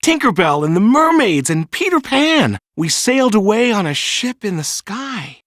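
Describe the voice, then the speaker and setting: A man's voice: high voice, very animated, moderate pace, a bit bright, dry recording, studio quality, voice pushed up. A man voicing a proud, brave young girl in a storybook, speaking with earnest feeling. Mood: wistful delight.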